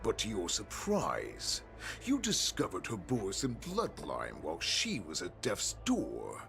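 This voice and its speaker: An actor voicing a menacing male wolf-beast warlord in a video game, gravelly, taunting and theatrical. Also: wildly swooping pitch, over music, exaggerated voice. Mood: mocking, probing. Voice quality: deep, gravelly. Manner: taunting, theatrical, drawn-out delivery. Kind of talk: dramatic video game voice acting.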